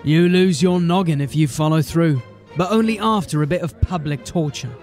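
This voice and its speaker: A man's voice: a little high, normal speed, darkish tone, faint music behind, close to an everyday voice. A man playing a blunt, wry city watch officer in a fantasy audio drama. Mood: wary.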